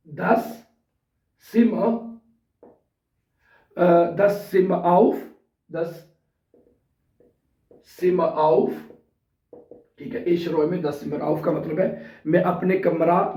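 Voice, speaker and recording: A man's voice: high-pitched, steady tone, mellow. A man with a sore throat teaching a language lesson in a casual way, repeating example sentences word by word. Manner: slow and repetitive, spelling out example sentences word by word. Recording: distant, roomy, dull sound.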